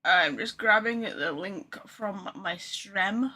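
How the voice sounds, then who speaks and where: Bright-voiced woman streamer, chatty and rambling. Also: medium pitch, takes it slowly. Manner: chatty, rambling, self-deprecating. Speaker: game streamer. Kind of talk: casual live-stream chat.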